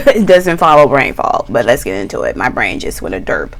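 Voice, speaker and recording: A middle-aged woman giving a tarot reading in a chatty, slangy way, a little hoarse from a cough. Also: rumbling low. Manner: slow, relaxed, chatty with slang. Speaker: tarot reader. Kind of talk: pick-a-card tarot reading.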